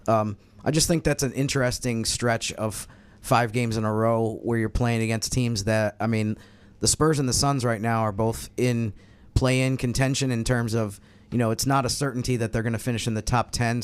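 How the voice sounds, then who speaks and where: A man's voice: mid-range voice, steady tone, moderate pace, few pauses, clear, resonant tone. A man from New York talking casually at a sports roundtable, with a wry, self-deprecating way of telling things. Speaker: podcast co-host and sports analyst.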